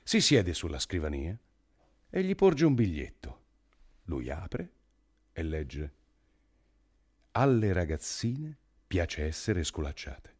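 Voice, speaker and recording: A man's voice: low voice, sing-song, takes it slowly, long pauses, darkish tone, slightly rough, heavily acted. An actor playing an obsessive, perplexed man in a theatrical radio monologue, piling up insistent rhetorical questions. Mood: brooding. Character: obsessive, perplexed man spiralling over a half-remembered story.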